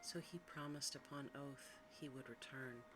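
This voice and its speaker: A storyteller giving a hushed ASMR narration of a bedtime fairy tale. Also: mid-range voice, fairly even intonation, normal speed, noisy background. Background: background music.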